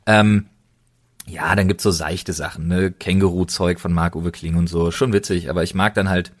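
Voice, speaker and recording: A man's voice: low voice, fairly even intonation, normal speed. A man hosting a casual podcast, recommending things in an enthusiastic, colloquial way. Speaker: podcast host.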